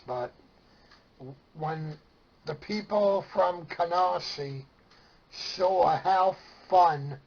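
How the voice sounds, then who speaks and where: A middle-aged man with a Brooklyn New York accent and a husky, gravelly voice, casually reminiscing in a plainspoken, meandering way. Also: a little high, a little mellow, lo-fi sound. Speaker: storyteller sharing memories of CB radio.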